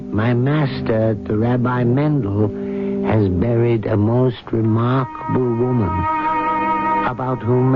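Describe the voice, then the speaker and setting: A man's voice: mid-range voice, close to an everyday voice. An actor playing a humble, wistful fool, a rabbi's servant, telling his story reflectively in a radio drama. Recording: dull lo-fi old radio broadcast, loud music.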